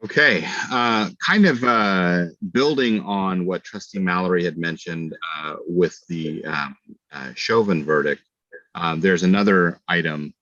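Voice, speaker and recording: A middle-aged man with a low voice gives remarks as a school board trustee. He speaks haltingly, repeating words as he goes. The sound is close and dry but somewhat dull. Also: sharp tone, no room sound. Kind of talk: remarks at a public meeting.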